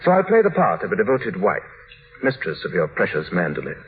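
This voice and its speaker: An actor in an old radio drama voicing a cruel, mocking wife in a taunting, sardonic way, on a muffled vintage radio recording. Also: male voice, deep voice, wildly swooping pitch, fairly quick, a little hiss or hum, exaggerated voice. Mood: mocking triumph. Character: mocking, cruel wife quoted by her husband.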